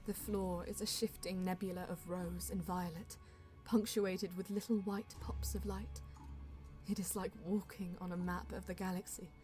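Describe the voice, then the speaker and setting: A woman voices a composed elven traveler narrating a sci-fi audio drama. Her voice is mellow and measured, with a reverent storytelling manner over faint music. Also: on the low side, flat delivery, close to an everyday voice. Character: Eldar woman recounting a journey, composed, reverent.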